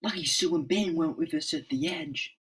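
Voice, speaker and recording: A man voicing an excitable, anxious young male superhero in a comic-book drama, with urgent, melodramatic delivery. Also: on the high side, brisk, gravelly, distant mic, pitched above the performer's own voice. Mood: uneasy.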